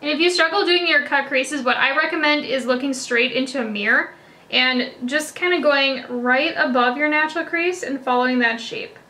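A young woman with a high, bright voice talks through a makeup tutorial. She is a little raspy after hiccups and chatty, with casual fillers everywhere, recorded indoors close to the camera. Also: moderate pace, low-quality recording. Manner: chatty, many "like" and "kinda" fillers. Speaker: beauty vlogger. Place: indoors before a plain backdrop.

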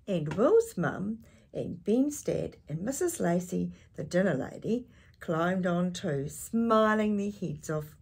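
An older woman reads a children's picture book aloud in a slightly low, husky voice. She takes her time over the story and breaks off for chatty asides, putting questions to her young listeners in an animated way. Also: takes it slowly. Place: small indoor space with curtain backdrop.

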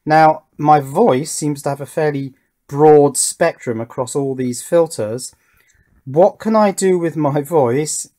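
A man's voice: on the high side, takes it slowly, dark tone. A middle-aged British man giving an unscripted hands-on electronics demonstration, methodical and thinking aloud as he goes. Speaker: electronics hobbyist presenter. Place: indoor home workbench.

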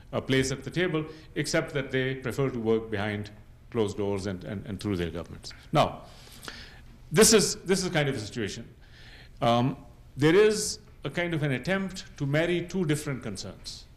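A man's voice: medium pitch, animated. Middle-aged man explaining as a panelist. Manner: measured, explanatory, often checks understanding with tag questions. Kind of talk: explanatory panel talk, unscripted. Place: university lecture room, seated at a panel table.

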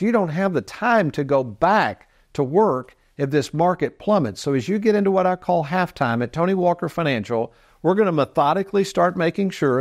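A man in his sixties presenting financial advice as a show host, folksy and emphatic as he explains to viewers. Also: a little high. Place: TV studio set, indoors.

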